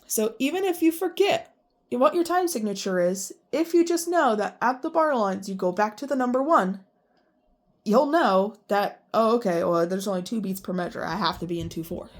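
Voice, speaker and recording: A woman music teacher with a congested voice, explaining rhythm reading and counting beats aloud in strict, even syllables. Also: medium pitch, moderate pace. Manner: chatty and self-deprecating between counts.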